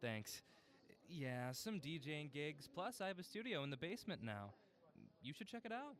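A playful, needling man in an audio drama. His voice is fairly high and very animated, an upbeat film buff full of banter. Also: moderate pace. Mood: friendly, eager. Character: teasing, upbeat male film buff, sound-business friend. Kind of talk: naturalistic audio drama dialogue.

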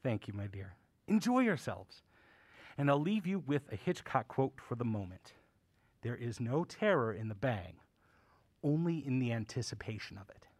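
Erudite older male mentor played by an actor, theatrical and professorial, very animated pitch, close dry sound, audio drama. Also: moderate pace, no room sound. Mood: genial. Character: erudite older uncle, film buff, genial mentor.

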